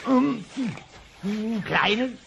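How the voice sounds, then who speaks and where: A man's voice: high-pitched, extremely slow, creaky, heavily acted. A man voicing a blustering, condescending dinosaur boss who splutters and stammers in a comedic audio play. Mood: flustered. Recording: noisy old cassette transfer.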